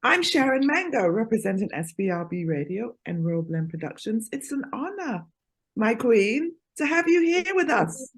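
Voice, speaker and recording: A woman's voice: a little high, big pitch swings, moderate pace, slightly rough. A woman co-hosting a live radio interview, effusive and chatty with fillers. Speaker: radio co-host.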